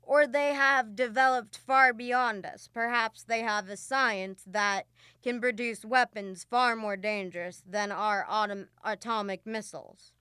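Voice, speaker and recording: A woman's voice: fairly high pitch, fairly even intonation, unhurried, sharp tone, clear, resonant tone, dry recording, clean studio sound. A woman playing a curious, thoughtful scientist on a spaceship in a student radio drama, speaking in a musing way. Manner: musing, speculative.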